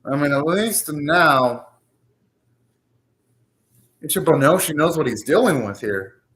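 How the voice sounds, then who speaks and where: A man's voice: on the high side, animated, slow, fairly bright tone. A young man hosting a live anime reaction, talking casually, ranting and swearing now and then.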